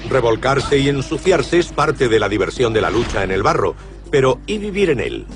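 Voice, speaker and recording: A man's voice: mid-range voice, sing-song, moderate pace. Documentary narrator: man, scripted delivery, average register, noticeable music bed.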